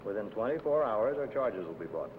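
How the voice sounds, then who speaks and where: A man's voice: expressive, fairly quick, close to an everyday voice. A man playing a blunt, condescending investigator in a 1950 film noir, leaning hard on a debtor. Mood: threatening. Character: hard-nosed, threatening male debt-collection investigator. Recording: noisy old film soundtrack, traffic rumble.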